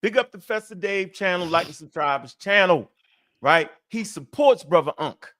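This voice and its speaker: A man with a high voice co-hosts a live online talk, animated and full of street slang. He keeps tossing in asides to the people he is talking with. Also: moderate pace. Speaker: co-host. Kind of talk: casual live-stream chat.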